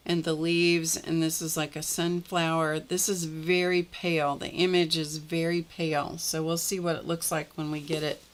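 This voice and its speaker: Slow, low-voiced woman demonstrating crafts. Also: a little hiss or hum. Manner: relaxed, chatty, talks while working with her hands. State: slightly croaky voice. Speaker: art journaling host.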